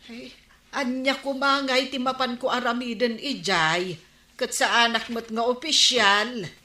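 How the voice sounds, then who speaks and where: An indignant, suspicious wife in a comedy radio drama, with an Ilocano accent. She nags and exclaims in a bright, forceful voice that rings in a roomy space. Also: a little high, steady tone, unhurried. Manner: nagging, scolding, exclamatory.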